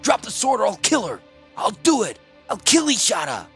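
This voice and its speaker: Man voicing a snarling, high-strained savage prisoner. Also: wildly swooping pitch, moderate pace, soft background music, exaggerated voice. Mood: menacing, furious. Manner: snarling, shouting, seething. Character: savage, vengeful wounded man, a desperate prisoner. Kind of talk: dramatic fantasy audiobook character.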